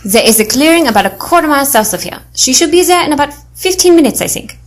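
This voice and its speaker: A woman's voice: high-pitched, animated, fast. Woman playing a fiery, commanding girl adventurer. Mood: firm. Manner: firm, formal, without contractions. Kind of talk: audio drama acting.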